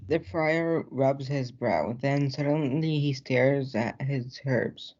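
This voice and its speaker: A man's voice: medium pitch, slow, darkish tone, muffled recording. A young man reading scripted narration for a stage play.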